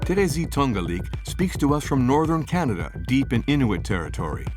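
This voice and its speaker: A man's voice: mid-range voice, very animated, fairly quick. Grave documentary narrator, man. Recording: voice-over with clear music behind.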